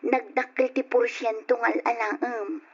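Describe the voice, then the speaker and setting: Slow-speaking, earnest, reproachful wife. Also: female voice, fairly high pitch, level tone, breathy edge. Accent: Ilocano. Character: earnest, conscientious wife of a moneylender, gently reproachful. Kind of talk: old radio drama acting in Ilocano. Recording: muffled old radio recording, faint noise.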